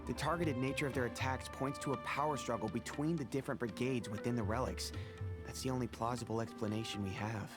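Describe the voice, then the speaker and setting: An actor playing a steady male mercenary crew leader in a game, giving a measured, serious briefing over game music. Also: mid-range voice, lightly acted. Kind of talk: game voice acting. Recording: game audio with music behind.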